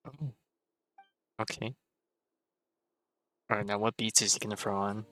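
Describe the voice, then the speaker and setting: A man's voice: fairly low pitch, fairly even intonation, unhurried, sharp tone. A man streaming a game and making casual, wry remarks while he plays. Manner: offhand, wry asides. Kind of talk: casual live commentary over gameplay. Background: game music.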